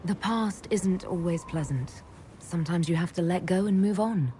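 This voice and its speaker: An actress playing a frank, level-headed elven woman who leads an order in a video game, speaking calmly and directly. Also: fairly low pitch, normal speed, a little hiss or hum, close to an everyday voice. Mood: caring. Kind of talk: video game cutscene dialogue.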